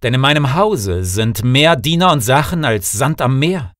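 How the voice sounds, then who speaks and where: A man's voice: medium pitch, lively intonation, moderate pace, a bit bright, no echo, clean studio sound, voice pushed up, natural voice. A man voicing an evil, commanding sorcerer in a fairy-tale audiobook, speaking curtly and emphatically. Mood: brisk, insistent. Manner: imperious, emphatic. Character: evil male sorcerer, commanding, curt, menacing husband.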